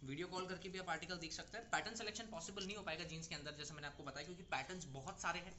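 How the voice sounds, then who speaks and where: Young male trader, brisk reassuring sales patter, noisy stockroom. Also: a little high, sharp tone. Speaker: wholesale seller presenting stock. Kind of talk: product showcase pitch to retailers. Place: clothing warehouse or shop.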